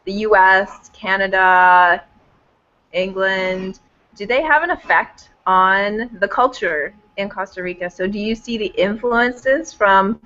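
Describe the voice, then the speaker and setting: A woman teacher with a quiet, soft voice, hosting a video call with her class. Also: medium pitch, slow pace. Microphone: laptop. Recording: dull classroom audio.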